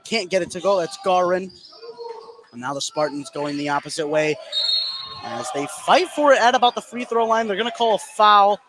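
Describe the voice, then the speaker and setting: A man doing live radio play-by-play of a basketball game in short clipped phrases, getting excitable on big plays. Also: sits high, moderate pace. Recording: clear gym noise behind the voice. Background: basketball game ambience, bouncing balls.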